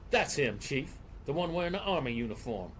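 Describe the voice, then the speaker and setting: An actor playing a surly, bigoted 1940s Southern bus driver in an audio drama, with a gruff, folksy drawl. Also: male voice, a little high, very animated, fairly quick, a little hiss or hum. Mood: indignant.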